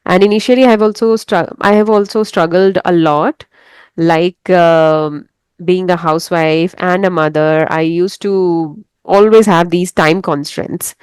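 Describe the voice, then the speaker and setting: Host, Indian woman, Hindi native, steady lowish smooth voice, dry close sound. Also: a little slow, dry recording. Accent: Indian English. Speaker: host, English teacher. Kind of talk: casual video-call interview.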